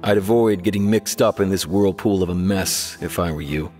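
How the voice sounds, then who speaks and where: A man as a frank, low-voiced guard officer. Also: moderate pace, a little mellow, faint music behind, lightly acted. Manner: frank, matter-of-fact. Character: frank, businesslike male guard officer giving advice. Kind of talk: video game voice acting.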